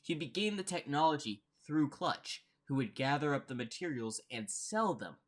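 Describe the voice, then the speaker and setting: A man's voice: on the high side, expressive, moderate pace. A man hosting a casual reaction commentary, thinking aloud and often repeating and rephrasing himself. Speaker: reaction host.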